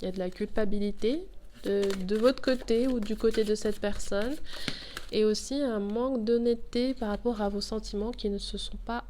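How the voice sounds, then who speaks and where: A chatty woman talks through a tarot spread at a moderate, mid-height pitch, thinking aloud as she goes. A cold thickens her voice, and a faint hiss with light clicks sits behind her. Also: steady tone, normal speed, dark tone. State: has a cold. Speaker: tarot reader. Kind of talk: casual tarot reading to camera.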